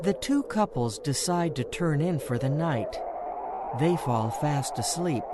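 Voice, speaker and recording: A man's voice: a little high, lively intonation, a little slow, heavy background noise. Theatrical male radio drama narrator. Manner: theatrical, ominous. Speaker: narrator and announcer. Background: music.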